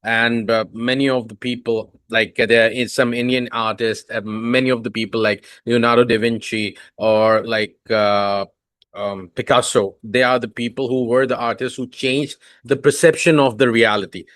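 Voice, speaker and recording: A man's voice: mid-range voice, steady tone, normal speed, fairly bright tone, dry recording. A man whose first language is Urdu, hosting a podcast and asking long, rambling questions full of fillers. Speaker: podcast host. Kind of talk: podcast interview.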